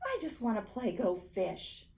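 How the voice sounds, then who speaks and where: A woman playing a blunt, animated little girl. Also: fairly high pitch, unhurried, creaky, heavily acted. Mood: unimpressed. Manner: blunt, incredulous. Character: Rosie, unimpressed, blunt young girl visiting a friend. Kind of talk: picture book read-aloud.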